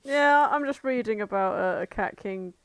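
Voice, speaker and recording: A woman's voice: fairly high pitch, moderate pace, fairly bright tone, clean tone, no echo. A woman co-hosting a podcast in casual chat, blunt, giggly and sweary. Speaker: podcast co-host.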